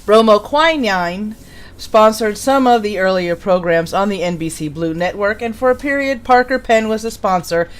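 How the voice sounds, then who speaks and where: A woman's voice: mid-range voice, normal speed. A woman hosting a live stream, chatting casually and introducing material in an informal, jokey way. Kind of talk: casual live-stream chat and reading show history.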